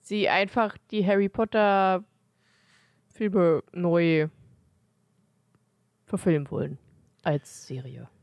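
A woman's voice: fairly low pitch, steady tone, slow, pauses often, darkish tone, dry recording, clean studio sound. A woman co-hosting a podcast, chatting casually in a blunt, emphatic way. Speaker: podcast co-host. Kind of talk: casual chat.

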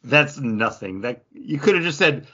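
A man co-hosting a podcast in casual chat, rambling and animated with lots of restarts. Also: mid-range voice, moderate pace. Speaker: podcast co-host. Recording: dull lo-fi podcast audio.

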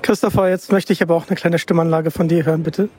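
A man's voice: on the high side, fairly even intonation, fast, darkish tone. A man working as an interviewer, chatting casually with a polite, enthusiastic manner.

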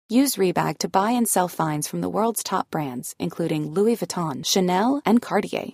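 A woman's voice: lowish voice, vocal fry, breathy edge, no echo, studio quality. A woman announcer giving a scripted commercial read.

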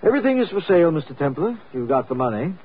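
Husky gangster boss, cynical n menacing, very expressive, tinny old radio. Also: male voice, fairly high pitch. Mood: sardonic. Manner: deliberate, sardonic. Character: menacing, smooth-talking male crime boss, deliberate and cynical. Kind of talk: 1940s radio acting. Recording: tinny 1940s radio recording.

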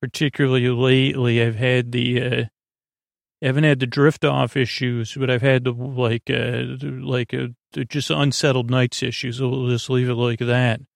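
A man with a gravelly, creaky voice rambles through a bedtime podcast monologue, recorded close and dry. He wanders, stops and restarts his sentences, and drones on in a reassuring, low-pressure way at a middling pitch. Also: level tone, no room sound. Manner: meandering, halting, self-interrupting with repeated false starts. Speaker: host of a bedtime sleep podcast.

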